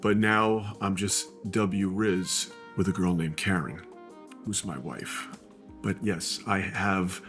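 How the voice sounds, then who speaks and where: An older man from New York City with a New York accent, co-hosting a casual question-and-answer chat with dry, deadpan teasing. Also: sits low, slow pace, faint music behind. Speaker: co-host, father of the other host. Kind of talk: casual Q&A banter.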